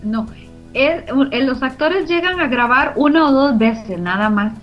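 Female guest, higher-pitched, conversational explainer; muffled lo-fi sound. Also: expressive, unhurried. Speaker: interview guest, radio drama producer. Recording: dull lo-fi audio, music behind. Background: clear background music.

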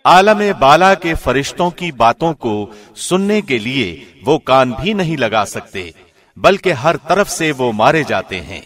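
A man reading a scripture translation formally, in the measured, solemn declamation of a radio presenter. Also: medium pitch, big pitch swings, fairly bright tone.

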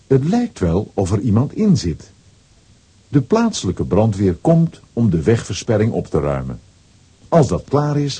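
Very dark, husky male storyteller. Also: fairly low pitch. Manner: storytelling, expressive. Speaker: narrator. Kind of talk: scripted children's story narration.

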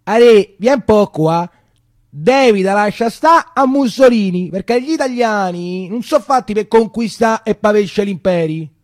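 A man voicing a suspicious, sharp-tongued old Roman grandmother who scolds and nags in a stage monologue. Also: sits high, expressive, moderate pace, voice pushed up. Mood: bold, commanding. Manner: scolding, nagging, probing. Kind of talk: theatrical monologue acting.

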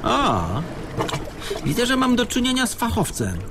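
An actor in a radio drama playing a sardonic small-time crook adrift at sea, with a sarcastic, needling manner. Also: male voice, very high-pitched, huge pitch swings, a little slow, heavily acted. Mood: smug, boastful. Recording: clear water and sea noise behind.

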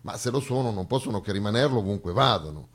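A man delivers a satirical theatrical monologue in a gravelly, husky voice, sarcastic and emphatic, with rhetorical questions and pointed pauses. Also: on the low side, animated. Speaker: stage actor and monologist.

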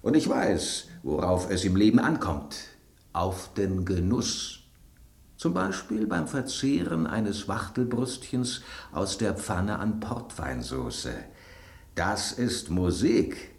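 An actor in a German radio drama playing an aging, worldly bread loaf who loves fine food, speaking in a theatrical, knowing, pointed way. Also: male voice, low-pitched, very animated, slow pace, a little mellow, reverberant, exaggerated voice. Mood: suave, savoring. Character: aging bread loaf, male, worldly gourmet, suspicious, self-assured.

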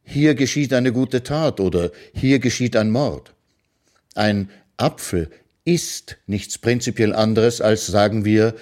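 A man reading his own philosophical short prose aloud in a calm, measured way, as a radio literary reading. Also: lowish voice.

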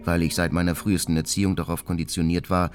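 Actor as a very deep-voiced, brooding male genius. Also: little pitch movement, quick pace, lightly acted. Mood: cold resentment. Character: brooding, resentful male genius recounting his life, coldly self-important. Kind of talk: dramatized first-person monologue in a radio documentary. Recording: studio voice with music underneath. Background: music.

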